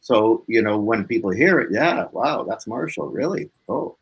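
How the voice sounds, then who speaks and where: A man's voice: fairly low pitch, big pitch swings, moderate pace, creaky, breathy edge, low-quality recording. A man speaking as a musician guest in a casual interview, reflective and earnest in manner.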